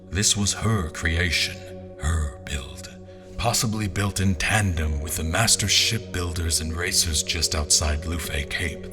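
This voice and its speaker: A man playing a grave, reflective general who narrates an audio drama in measured, literary phrases. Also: deep voice, lightly acted. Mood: admiring. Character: grave, reflective male army general recounting his journey. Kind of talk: scripted first-person dramatic narration. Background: music.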